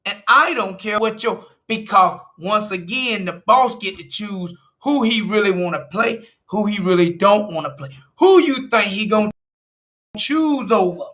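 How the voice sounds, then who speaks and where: A man's voice: sits high, lively intonation, measured pace, sharp tone. A man from the United States, a political commentator walking through an article, emphatic and repetitive, stressing numbers and restarting phrases.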